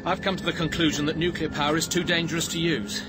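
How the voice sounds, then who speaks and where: A man playing a grave, conscientious young scientist in a radio play. His voice sits a little high and he speaks directly and solemnly, over clearly audible background sound. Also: fairly even intonation, fast, music in the background, natural voice. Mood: grave, resolute. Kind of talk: radio drama acting.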